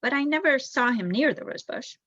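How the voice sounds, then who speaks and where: A woman's voice: sits high, expressive, a little fast, a bit bright, dull audio, pitched above the performer's own voice. A woman voicing a thoughtful, observant little boy mouse in a storybook, reasoning plainly.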